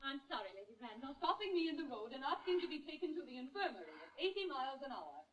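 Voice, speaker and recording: A brisk wife from a 1940s radio play. The voice is high and swoops expressively. The old recording sounds muffled. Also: female voice, big pitch swings. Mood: brisk irritation.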